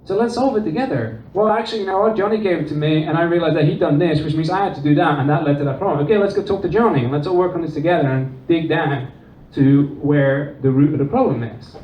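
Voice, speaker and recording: A man with a Dutch accent, giving an animated conference talk and acting out quoted dialogue. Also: a little high, quick pace. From Netherlands and England. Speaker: conference presenter. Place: conference room. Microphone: distant room recording. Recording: distant, roomy, dull with faint noise.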